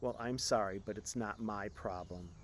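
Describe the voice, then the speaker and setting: A man's voice: fairly low pitch, normal speed, slight background noise, lightly acted. A man voicing a stubborn, nonchalant fisherman in a storybook reading. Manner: nonchalant, obstinate. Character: stubborn, unbothered fisherman with a hand drill.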